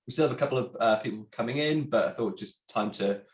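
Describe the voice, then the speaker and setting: A congested man with a chatty, halting manner, a sales director presenting a webinar. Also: mid-range voice. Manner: chatty, many ums and restarts. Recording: roomy echo.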